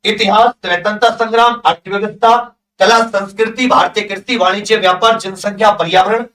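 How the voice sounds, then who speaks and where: A man's voice: high voice, fairly bright tone. A man teaching an exam class, reading out syllabus items one by one with short comments and English technical terms mixed in. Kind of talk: lesson, reading through a syllabus list with brief comments. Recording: echoey room, distant microphone.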